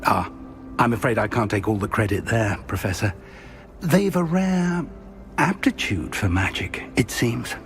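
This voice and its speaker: An actor playing an older wizard professor in a video game, courteous and dryly modest, with a British accent and a polite, wry manner. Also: male voice, fairly low pitch, expressive, a little slow, fairly bright tone. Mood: modest, admiring. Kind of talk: video game character dialogue.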